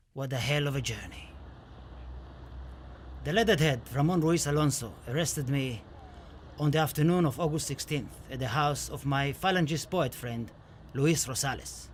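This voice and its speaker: An actor playing an impassioned, lyrical Spanish poet held prisoner, declaiming dramatically in a theatrical radio play. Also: male voice, on the high side, a little hiss or hum, lightly acted.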